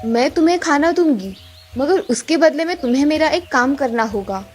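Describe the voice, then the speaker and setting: A woman's voice: high voice, animated, moderate pace, a little mellow, faint music behind. A woman voicing a kindly old forest grandmother in a children's cartoon story. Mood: bargaining.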